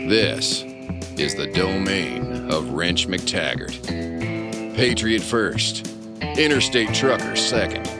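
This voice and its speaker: A man's voice: low voice, creaky, full character voice. A man playing a grandiose pulp adventure narrator in a comic audio drama, pompous and dramatic with a straight face. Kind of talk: mock-heroic scripted audio drama narration.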